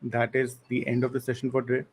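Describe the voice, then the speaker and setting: Young male instructor, casual live-class delivery, flat intonation, mid pitch. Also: fast, a little hiss or hum. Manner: casual, apologetic, talks to chat with slang. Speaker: online coding instructor.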